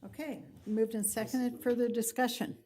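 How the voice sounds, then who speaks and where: A woman chairing a city board meeting, calling motions and votes in a brisk, procedural way. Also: on the high side, fairly even intonation, dark tone. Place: council meeting room.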